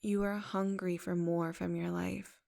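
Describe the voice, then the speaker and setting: Brief: woman, meditation guide, very slow delivery, gravelly fry, soft affirming tone. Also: on the low side, little pitch movement.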